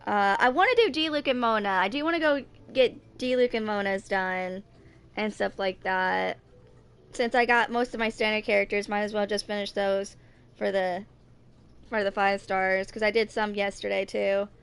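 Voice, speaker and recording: A woman in her twenties streaming a video game, talking casually in a rambling, halting way that circles back on itself. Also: mid-range voice, fairly bright tone, clear, resonant tone. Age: 20s. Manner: rambling, halting, repeats herself. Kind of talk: casual livestream chat with some reading aloud of game text.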